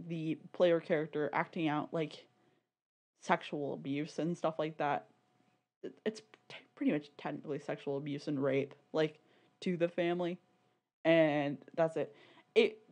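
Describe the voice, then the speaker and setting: Woman podcast cohost, kinda low voice, chatty and informal, says like a lot. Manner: informal, peppers talk with "like" and laughs easily. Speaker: podcast co-host. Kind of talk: casual podcast discussion.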